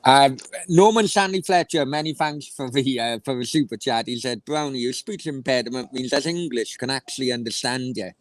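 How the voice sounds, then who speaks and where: A man's voice: mid-range voice, normal speed, few pauses, dry recording. A man with a South Wales accent hosting a casual football chat, rambling with many restarts. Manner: rambling, stumbling restarts, laughs easily. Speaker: host.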